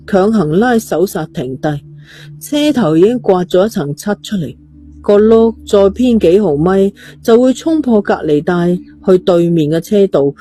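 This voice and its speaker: A woman's voice: medium pitch, warm tone. A woman with a Hong Kong Cantonese accent reads a scripted horror-mystery story as its narrator. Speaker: audio novel narrator.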